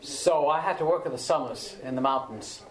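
An older man from Austria, a native German speaker, recalling his life story in a halting way with repeated words, recorded in his home kitchen. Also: a little high, reverberant, rough recording. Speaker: interviewee. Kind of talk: oral history recollection.

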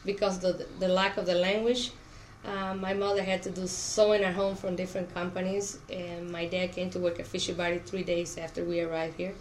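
A woman's voice: fairly low pitch, level tone, a little fast, few pauses, poor audio. A middle-aged woman from Cuba, a Spanish speaker, answering questions in an oral history interview. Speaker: interviewee, auto plant worker. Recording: clear background noise.

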